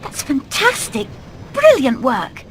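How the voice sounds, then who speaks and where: A British woman playing a determined, plucky hen in an animated video game, speaking in a brisk, earnest, rallying way. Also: sits high, slight background noise, exaggerated voice. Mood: pleased. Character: determined, plucky female hen leading an escape plan.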